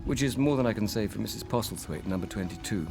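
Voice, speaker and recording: A man's voice: fairly low pitch, fast talker, gravelly, over music. An actor playing a brave, self-deprecating young wartime man with dry understatement in a radio drama. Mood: shaken.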